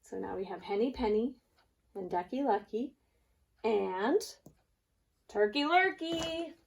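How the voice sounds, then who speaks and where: A woman's voice: fairly high pitch, expressive, slow, vocal fry, slight background noise. A woman preschool teacher reading a storybook aloud for young children, explaining things expressively as she goes.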